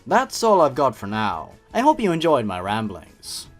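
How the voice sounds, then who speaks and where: Animated sarcastic male reviewer. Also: medium pitch, normal speed, soft background music. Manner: opinionated, sarcastic asides. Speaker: host of a horror story review channel. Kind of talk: scripted review commentary with story read aloud.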